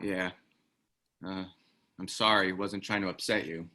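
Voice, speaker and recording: A man's voice: deep voice, fairly even intonation, measured pace, pushed and bright, exaggerated voice. Man acting a wry, easygoing ex-military ally. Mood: subdued, sympathetic. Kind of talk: screenplay table read, naturalistic dramatic acting.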